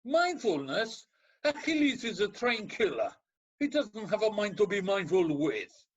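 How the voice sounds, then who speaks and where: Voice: medium pitch, big pitch swings, measured pace, slightly rough. An actor playing a scornful, impatient counsellor in a comedic radio play, speaking with sarcastic exasperation. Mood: sneering. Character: scornful, impatient, unsympathetic ancient Greek counsellor.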